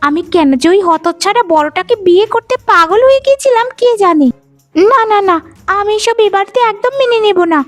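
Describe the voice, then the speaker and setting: Casting: spiteful twin-sister wife, extremely high voice, theatrical cartoon whine, loud music underneath. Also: female voice, animated, brisk. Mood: bitter, plotting. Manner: theatrical, whining, scolding. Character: jealous, scheming young wife, twin sister, whiny and spiteful. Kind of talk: melodramatic cartoon voice acting.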